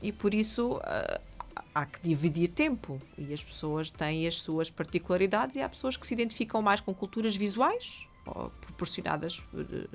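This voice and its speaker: A middle-aged woman whose first language is not Portuguese, speaking with a European Portuguese accent as a guest in a radio interview, with careful, literary phrasing. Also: on the low side. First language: another language. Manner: articulate, literary phrasing with frequent laughs. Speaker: author and guest.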